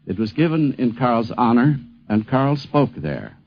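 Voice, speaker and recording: A man's voice: medium pitch, very animated, measured pace. A man speaking emphatically and repeating words for effect as he reminisces and explains, on a 1950s radio programme. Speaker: interviewee recalling a poet friend. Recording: dull 1950s radio recording.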